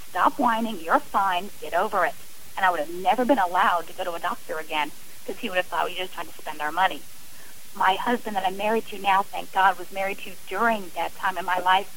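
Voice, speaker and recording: A woman's voice: medium pitch, a bit bright, a little hiss or hum, poor audio. A middle-aged woman speaking earnestly and emphatically as a guest in a radio interview, giving personal testimony in a conversational way. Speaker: interview guest, author and abuse survivor.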